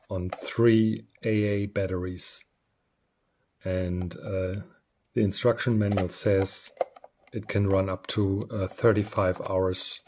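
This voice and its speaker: A man giving a casual, unscripted product review, speaking haltingly with frequent pauses. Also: low-pitched, mellow, slight background noise. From Germany. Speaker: radio reviewer.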